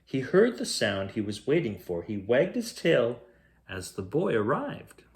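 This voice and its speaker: A man reading a children's picture book aloud as a bedtime storyteller, in a measured, expressive way. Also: mid-range voice. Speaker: bedtime story reader, host.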